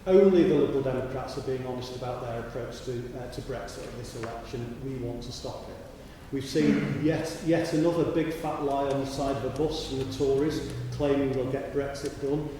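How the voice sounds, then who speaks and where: A man giving an assertive, brisk campaign speech as a candidate at a hustings in a large church hall. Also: mid-range voice. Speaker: parliamentary candidate. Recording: distant, echoey hall with background hiss.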